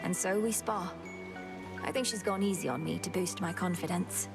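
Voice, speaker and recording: A woman's voice: on the low side, moderate pace, gravelly. A woman playing a determined young witch princess in a video game, formal and earnest. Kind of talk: video game character acting. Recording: music behind the voice.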